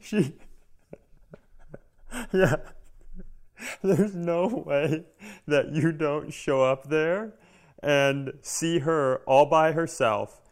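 A man's voice: fairly high pitch, extremely slow, pauses often. A man co-hosting a comedy podcast, riffing casually with some swearing and little acted-out exchanges.

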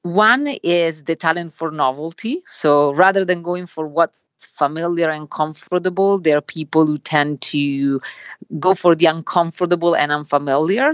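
A woman with an Italian accent, a business school professor explaining her ideas in measured, explanatory answers as a podcast guest. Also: sits low, no echo, dull audio. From Italy.

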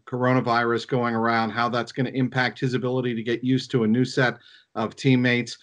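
Halting male sports analyst, mid-pitched. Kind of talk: unscripted sports commentary.

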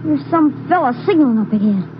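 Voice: high-pitched, animated, fairly quick. A performer playing an eager twelve-year-old American farm boy in a 1950s radio drama. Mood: curious, eager. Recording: muffled, very noisy old radio recording.

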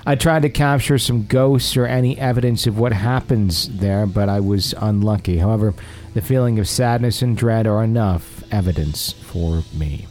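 A man's voice: lowish voice, normal speed, a little mellow. A man hosting a podcast, chatting casually and reading listener stories aloud in an agreeable, conversational manner. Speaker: podcast host. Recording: music behind the voice. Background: music.

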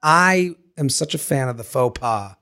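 A man co-hosting a podcast in casual, joking chat, hoarse as his voice comes back. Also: mid-range voice, measured pace. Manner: joking, animated, self-mocking. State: hoarse, voice recovering. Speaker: podcast co-host.

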